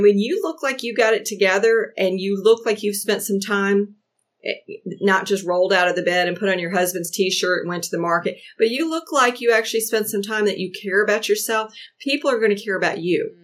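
A woman in her sixties, a podcast guest, talking earnestly and giving advice with frequent restarts. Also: mid-range voice. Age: 60s. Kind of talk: casual chat.

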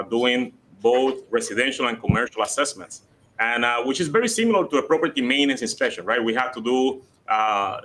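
A middle-aged man from Rico, talking as a guest in a live interview at a convention center. He speaks earnestly, repeating words and filling pauses with "uh". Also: mid-range voice, a bit bright, a little hiss or hum, rough recording. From Puerto Rico. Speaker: guest, city code department director.

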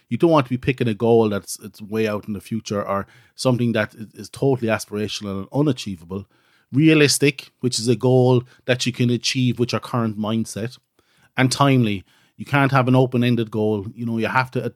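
A man's voice: mid-range voice, no echo, clean studio sound. A middle-aged Irish man hosting his own podcast in a casual, rambling, self-deprecating chat full of "so" and "you know". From Ireland. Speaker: podcast host.

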